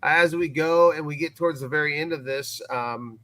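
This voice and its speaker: A man as a guest in a casual live recap chat, thinking aloud in a halting way and repeating words. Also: fairly high pitch, fairly bright tone, no echo.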